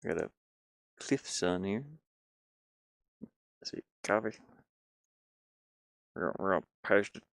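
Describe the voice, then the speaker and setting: A man giving casual, halting live commentary as he works on game art, muttering and thinking aloud. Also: sits low, creaky, a bit bright, no echo. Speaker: streamer and hobby game designer.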